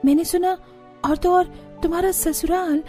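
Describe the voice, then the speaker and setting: A woman puts on a flashy rich young cartoon snob, very high-voiced and mocking. She drawls and slips English phrases in, over music. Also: fairly even intonation, normal speed, mellow.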